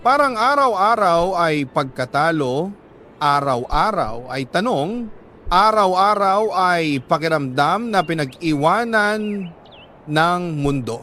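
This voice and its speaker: A Filipino man reading a listener's letter as a radio host, in solemn, sentimental dramatic narration with deliberate pauses. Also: high-pitched, lively intonation, bright, forceful tone, a little hiss or hum. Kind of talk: dramatic scripted narration of a confessional love letter.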